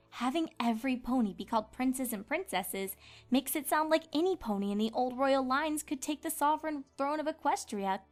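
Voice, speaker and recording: A woman playing a studious, precise pony who explains things in an articulate, didactic way in a fan audio drama. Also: high voice.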